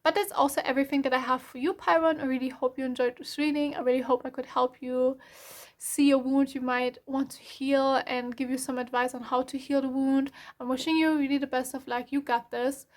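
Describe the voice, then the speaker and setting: This is a young woman with a German accent talking through a tarot reading in English. Her voice sits high and stays fairly even in pitch. She rambles softly, full of fillers and restarts, as she talks to her viewers. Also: brisk. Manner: soft, rambling, frequent self-corrections and fillers. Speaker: tarot reader. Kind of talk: casual spoken tarot reading.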